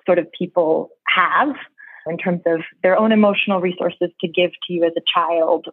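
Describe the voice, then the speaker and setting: A woman's voice: fairly low pitch, slightly rough, no room sound. American woman reflecting over a tinny call line. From New York City, Brooklyn. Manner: thoughtful, reflective, laughs easily. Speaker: guest. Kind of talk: conversational interview.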